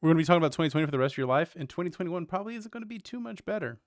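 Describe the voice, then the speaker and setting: A man's voice: fairly high pitch, expressive, racing, no room sound, clean studio sound. A man doing casual livestream commentary with wry, joking asides. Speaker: streamer.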